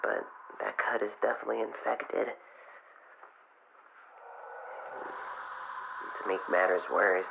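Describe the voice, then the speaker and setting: A man playing a frightened young fox boy who records a halting, weary log in an audio drama. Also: on the low side, steady tone, creaky. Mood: fearful, resigned. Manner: halting, hesitant, weary delivery. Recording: muffled noisy handheld radio recording.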